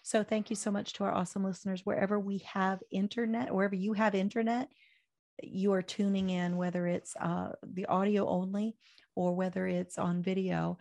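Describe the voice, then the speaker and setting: An older woman hosting a political talk radio show, hoarse and speaking with fiery, preachy conviction before a chatty sign-off. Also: mid-range voice, flat delivery, moderate pace, darkish tone.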